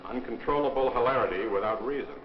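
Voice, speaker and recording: A man's voice: medium pitch, fast. A man playing a stern cautionary voice in a 1960s propaganda film, giving solemn, clipped pronouncements with an echo. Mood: stern warning. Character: stern cautionary voice listing pot's evils. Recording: echoey dull old film soundtrack, loud music.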